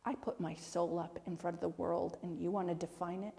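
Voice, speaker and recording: A woman's voice: lowish voice, level tone, fairly quick, creaky, dark tone. A woman playing an outspoken, indignant film director in a dramatic monologue, defiant and sweary, tripping over repeated words. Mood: furious, impassioned. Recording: long echoing hall reverb.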